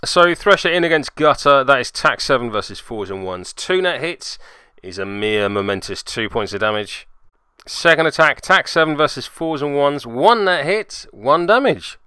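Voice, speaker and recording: Animated, clear-voiced man narrating tabletop gameplay. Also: medium pitch, moderate pace, no room sound, clean studio sound. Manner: dryly sarcastic asides. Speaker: host and player narrating tabletop game report. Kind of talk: play-by-play game recap voice-over.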